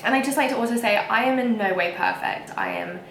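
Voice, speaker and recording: A woman's voice: a little high, huge pitch swings, brisk, a bit bright, echoey room, slight background noise. A young woman with a whiny voice, ranting frankly to camera in a casual vlog. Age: young adult. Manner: emphatic and frank, with frequent fillers. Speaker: vlogger.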